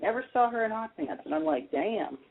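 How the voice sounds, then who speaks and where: A man's voice: sits high, expressive, fairly quick. A man hosting a casual radio talk show about TV, chatty and opinionated as he explains his views. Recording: tinny phone-like internet radio line.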